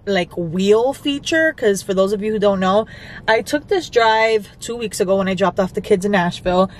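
A young woman in her twenties talking casually to the camera while driving, full of "like" and "um". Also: medium pitch. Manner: chatty, filler-heavy talk. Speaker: vlogger. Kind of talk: casual chat. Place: inside a car. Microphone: camera on the dashboard. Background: car and road noise.

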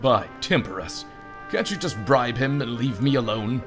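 A man's voice: medium pitch, lively intonation, moderate pace, over music, lightly acted. A man voicing a grumpy, exasperated male harbor captain who resents paperwork, in an adventure game. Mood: irritated.

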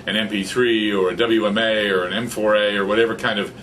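A man's voice: lowish voice, fairly quick, slight background noise. A man in his fifties, a podcaster, chatting animatedly in an office interview, recorded on a studio microphone. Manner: animated, gestures a lot, gets excited. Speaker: podcaster and guest. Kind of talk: casual interview chat. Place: small office with computers. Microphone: studio condenser mic on stand in front.

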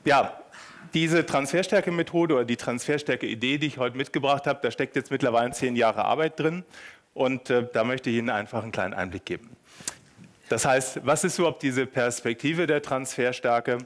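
A man, giving an informal, playful conference talk and putting questions to his listeners. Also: mid-range voice. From Germany (Hanover). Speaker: keynote speaker, professor. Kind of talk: lively conference talk to an audience. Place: large hotel conference room. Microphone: headset.

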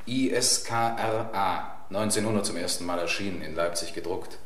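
An actor playing a polite, matter-of-fact police detective asking formal, probing questions in a German radio crime play. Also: male voice, sits low, moderate pace, fairly bright tone.

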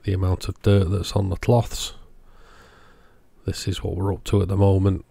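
A man's voice: low-pitched, flat delivery, moderate pace, gravelly, mellow, husky, no room sound. A man narrating a hands-on repair tutorial in a matter-of-fact, step-by-step way. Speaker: host of an electronics repair channel.

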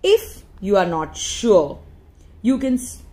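A woman reads a written article aloud as an audiobook narrator. Her pace is slow, with deliberate phrases and frequent pauses. Her medium-pitched voice rises and falls in a lively way. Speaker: audiobook reader.

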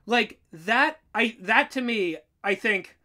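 Bright, emphatic commentator in casual conversation. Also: medium pitch, lively intonation, slow pace. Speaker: writer and YouTuber reacting as co-host. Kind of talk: casual opinionated commentary chat.

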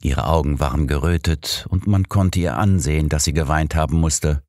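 A man's voice: extremely low pitch, moderate pace, darkish tone, dry recording, clean studio sound. A man reading a scripted first-person story as an audiobook narrator.

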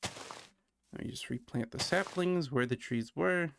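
Chatty Canadian gaming streamer. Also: male voice, fairly high pitch, slow, gravelly, fairly bright tone, slight background noise. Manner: chatty, self-deprecating, thinking aloud. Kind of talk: casual gameplay commentary.

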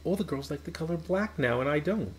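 A man voicing a sensitive, earnest little girl from a storybook, expressive in delivery. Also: a little high, a little fast, voice pushed up, lightly acted. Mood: upset. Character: sensitive little girl who loves pink.

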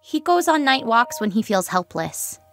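A woman's voice: fairly high pitch, big pitch swings, moderate pace, faint music behind. A woman playing a plain, matter-of-fact second-person narrator in an amateur comic dub. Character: matter-of-fact narrator speaking for a silent child.

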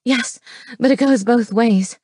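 Passionate shifter heroine, voiced by a woman narrator: soft, breathy, yielding, close dry audiobook sound. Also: fairly high pitch, slow pace, dry recording, pitched above the performer's own voice. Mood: pleading, breathless. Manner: gasping, breathy, soft delivery. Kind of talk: romance audiobook character voice.

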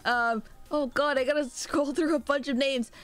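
A young adult woman streaming live, chatting excitedly with viewers and thanking them by name, speaking through a sore throat. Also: sits high, normal speed. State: sore throat, a little sick. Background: faint background music.

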